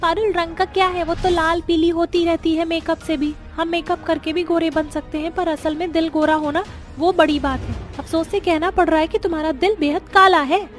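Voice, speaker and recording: A woman's voice: very high-pitched, steady tone, moderate pace. A woman voicing a kind, dutiful young witch daughter in a cartoon story, speaking earnestly. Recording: music behind the voice.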